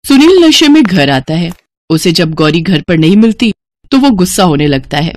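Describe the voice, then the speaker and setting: A woman's voice: on the low side, big pitch swings, normal speed, no room sound. A woman reading scripted narration for a cartoon story.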